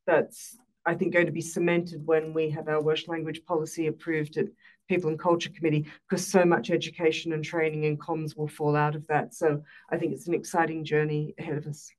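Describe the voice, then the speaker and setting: A woman board member makes brisk remarks, often restarting her sentences as she goes. Her voice is low for a woman and keeps a narrow, level pitch. She is heard in a large boardroom. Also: a little mellow. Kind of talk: formal meeting remarks.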